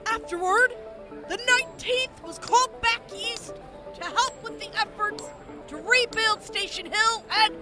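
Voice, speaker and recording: A woman playing a high-voiced earnest army major. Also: loud music behind the voice. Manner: measured, earnest. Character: composed female army major. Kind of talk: audio drama acting.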